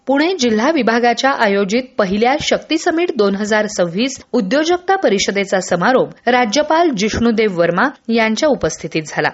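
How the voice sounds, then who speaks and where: A woman newsreader with a high voice and very animated intonation delivers scripted bulletins formally and with measured phrasing. The sound is slightly dull, like a lo-fi radio broadcast. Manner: formal, measured news reading. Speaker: radio news presenter.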